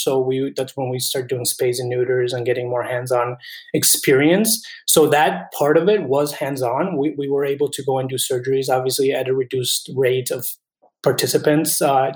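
A man's voice: medium pitch, reverberant, rough recording. A man in his twenties from Colombia, a veterinary student answering interview questions in an earnest, thoughtful way with frequent restarts.

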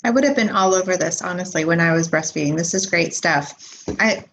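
A woman pediatrician co-hosting a podcast, explaining in a measured, careful way. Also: fairly low pitch, fairly bright tone, a little hiss or hum. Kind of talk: casual podcast chat.

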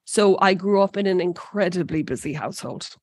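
A woman's voice: on the low side, fairly quick. A woman in her forties with an Irish accent, the guest in an interview, talking with enthusiasm and often restarting her sentences. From Ireland. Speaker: energy company president, guest. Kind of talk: interview conversation.

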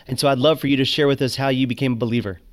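A man's voice: medium pitch, steady tone, very fast. A man hosting a podcast, introducing his guest and asking questions with frequent restarts. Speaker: podcast host. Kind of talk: podcast interview.

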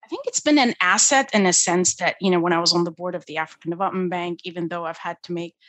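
A woman with a lowish voice, from Ethiopia, speaks as a guest on a podcast interview. She talks in an animated way and thinks aloud, leaning on fillers as she goes. The recording is very dry and close, with no room sound. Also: fast, no room sound. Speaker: guest, energy policy expert.

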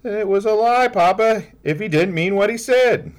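A man voicing an earnest little girl who insists on every detail, in a high, quick, pleading voice. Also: natural voice. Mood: insistent.

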